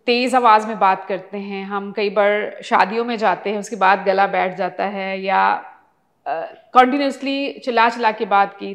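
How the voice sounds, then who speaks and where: A woman with a somewhat high voice hosts a health interview. She introduces her guest and puts questions to him, often summing up his points in her own words as a prompt to go on. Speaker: interview host.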